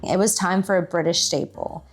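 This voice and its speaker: A woman's voice: fairly low pitch, steady tone, normal speed, breathy edge, soft background music. A woman narrating a travel vlog from a script as its host.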